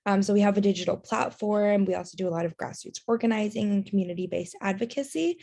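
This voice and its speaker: Woman with a gravelly fry, earnest. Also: medium pitch, fast talker, mellow. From Taiwan and China. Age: young adult. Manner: earnest, many fillers. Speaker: workshop presenter. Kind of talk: online workshop presentation. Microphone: video call.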